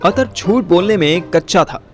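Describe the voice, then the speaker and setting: A man's voice: high-pitched, animated, normal speed, soft background music. A man narrating a scripted first-person audio story as its storyteller.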